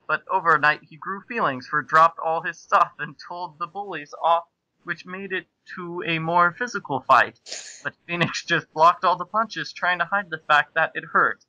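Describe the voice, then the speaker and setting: A man's voice: a little high, pushed and bright, dry recording, highs cut off. A man narrating amateur fan fiction in a theatrical, amused way. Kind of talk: comic dramatic reading of amateur fan fiction.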